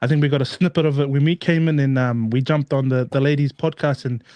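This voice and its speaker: A man's voice: medium pitch, steady tone, fast talker, darkish tone, dry recording. A man hosting a podcast, hesitant and full of fillers. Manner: hesitant, many fillers and restarts. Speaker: podcast host.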